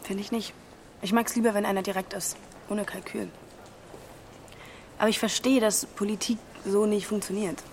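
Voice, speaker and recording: A woman's voice: medium pitch, steady tone, moderate pace, creaky, pauses often, a little mellow, a little hiss or hum, close to an everyday voice. An actress playing a cool, shrewd woman in her twenties in a radio drama, direct and challenging in natural conversation. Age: 20s.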